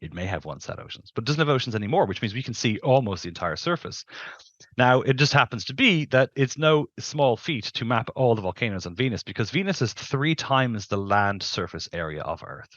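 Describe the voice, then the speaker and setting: A man's voice: medium pitch, expressive, a little fast, a bit bright, no room sound, lo-fi sound. A middle-aged man, a scientist being interviewed, explains things informally and emphatically, rephrasing for precision on a headset mic. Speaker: planetary scientist, interview guest. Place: indoors on a video call.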